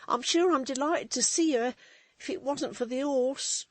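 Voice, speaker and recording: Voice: a little slow, no room sound. Reader doing a jumpy young servant lad. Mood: apologetic. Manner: high, nervous, hushed. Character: jumpy young male servant, nervous and evasive. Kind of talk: audiobook character voice.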